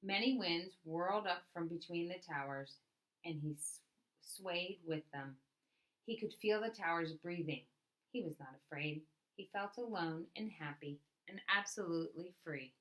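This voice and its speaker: A woman reading a picture book aloud as a storyteller, with expressive narration and dramatic emphasis on key words. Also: on the low side, normal speed, low-quality recording.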